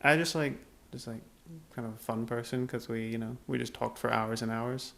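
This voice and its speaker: A man in his late twenties from England with an English accent, chatting casually in a low-key, hesitant way as a guest on a vlog. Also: fairly even intonation, moderate pace, gravelly, a bit bright. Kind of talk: casual chat.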